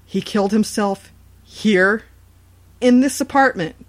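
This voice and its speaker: An anxious young tenant in an audio horror tale, acted by a woman. The voice sits at a middle pitch and questions nervously. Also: slow, close to an everyday voice. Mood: alarmed, questioning. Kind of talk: scripted audio horror story narration.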